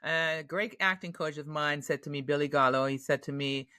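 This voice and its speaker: A woman's voice: sits low, moderate pace, bright, forceful tone, clear, resonant tone, no echo, studio quality. A woman from Germany with a German accent, an actress chatting in an interview with lots of fillers and restarts. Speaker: actress, podcast guest. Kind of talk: casual interview answers.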